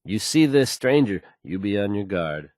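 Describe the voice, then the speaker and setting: An actor playing a confident, smooth male radio DJ in a video game, with fluent, easygoing announcer patter. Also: fairly low pitch, sing-song, normal speed, darkish tone, no room sound, clean studio sound. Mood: steady, cautioning. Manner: self-assured, fluent, easygoing announcer delivery.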